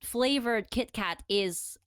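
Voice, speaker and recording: A woman from the Philippines chats casually as a podcast co-host. Her voice is somewhat high, and she explains things conversationally, doubling back on words. The recording is dry and free of room sound. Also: takes it slowly, smooth, no room sound. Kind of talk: casual chat.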